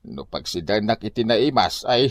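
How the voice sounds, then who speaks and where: A man's voice: lowish voice, lively intonation, normal speed, no room sound, studio quality, close to an everyday voice. A man with an Ilocano accent playing an evasive, grumbling husband and father in a village comedy drama, given to whiny exclamations. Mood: evasive, stubborn.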